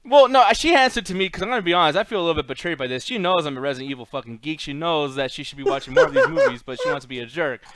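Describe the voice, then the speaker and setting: A man's voice: a little high, wildly swooping pitch, racing, hardly any pauses, a bit bright. A man hosting a casual chat show, rambling and swearing as he argues. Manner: rambling, profane, argumentative. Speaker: show host. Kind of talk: casual chat, bantering argument.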